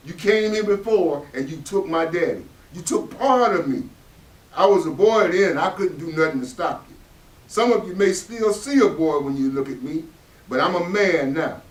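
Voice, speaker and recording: A man's voice: fairly high pitch, expressive, normal speed, natural voice. A man with a Southern American accent playing an embittered, protective father in a stage reading, in emphatic folksy speech. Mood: defiant, angry. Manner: emphatic, folksy vernacular speech with dramatic swells. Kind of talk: stage readers theatre acting. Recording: echoey room, distant stage microphone.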